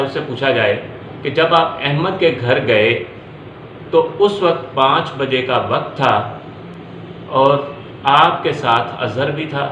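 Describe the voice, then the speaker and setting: A man's voice: medium pitch, sharp tone. A man with a Pakistani accent giving an explanatory law lecture, restating each point step by step and mixing in English terms. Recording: echoey room, faint background noise.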